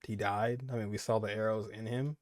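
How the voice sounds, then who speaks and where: A man's voice: on the low side, steady tone, brisk, no echo, clean studio sound. A man doing casual playthrough commentary as a gaming creator, reacting in an informal, rambling way. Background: faint music.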